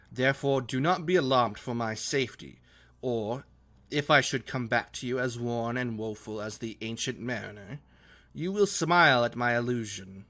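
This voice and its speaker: A man reading a classic novel aloud as an earnest, romantic gentleman explorer, in formal literary phrasing. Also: mid-range voice. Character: earnest, romantic young gentleman explorer writing letters home.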